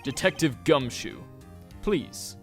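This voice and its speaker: A man's voice: medium pitch, animated, slow, faint music behind. A man voicing a formal, self-assured, pompous prosecutor in a courtroom game fandub. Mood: questioning. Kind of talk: game fandub character acting.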